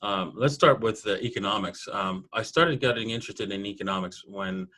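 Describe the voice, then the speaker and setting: A man, telling personal stories in a casual interview in an animated way. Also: on the low side, moderate pace, slight background noise. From Toronto, Canada. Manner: animated storyteller, laughs often. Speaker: podcast guest, programmer.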